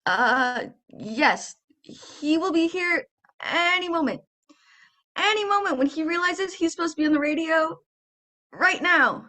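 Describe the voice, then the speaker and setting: Young woman as awkward high-pitched male field reporter. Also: animated, measured pace, sharp tone, heavily acted. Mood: awkward, stalling. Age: teens to young adult. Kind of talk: absurd spoof radio reporting. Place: room at home, video call.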